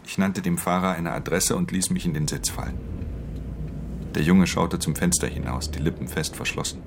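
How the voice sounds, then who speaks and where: Very deep, gravelly, brooding thief. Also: male voice, steady tone, normal speed, noisy background. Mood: matter-of-fact. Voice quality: very deep, gravelly, breathy in hushed passages. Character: brooding, weary male thief narrating his own story. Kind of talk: radio drama first-person narration.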